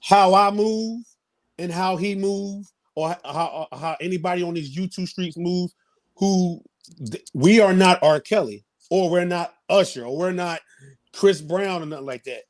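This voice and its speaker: A man in his thirties giving opinions in a casual interview, halting and repeating phrases as he builds his point. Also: sits high. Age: 30s. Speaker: interview guest.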